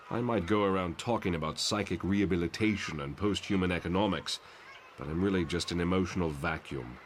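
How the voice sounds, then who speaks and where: A man playing a disillusioned professor in a motion comic, brooding, articulate and measured, full of self-doubt. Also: low voice, a little hiss or hum, natural voice. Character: disillusioned male mutant professor, weary, self-doubting idealist.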